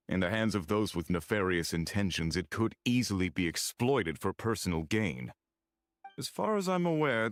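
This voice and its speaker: A solemn guardian from a video game, with a fairly low voice. He is devout and suspicious, and he speaks in formal, measured phrases. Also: no room sound. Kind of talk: video game dialogue acting.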